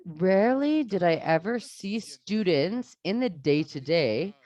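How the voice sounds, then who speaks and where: A woman's voice: fairly low pitch, unhurried, dry recording. A woman, a teacher earnestly sharing classroom stories in a webinar talk, often restarting her sentences. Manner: earnest, animated, frequent restarts.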